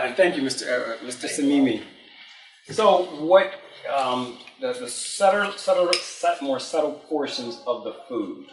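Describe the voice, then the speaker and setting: A man leading a study class who asks for questions and explains the reading in a halting way, repeating words. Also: medium pitch, a little hiss or hum. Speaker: lecture leader. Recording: echoey room, mic far off.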